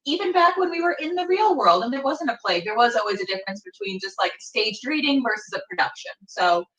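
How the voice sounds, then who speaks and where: A woman's voice: a little high, lively intonation, fairly quick, a bit bright. A woman hosting a podcast interview in a casual, chatty way. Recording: echoey, distant from the microphone.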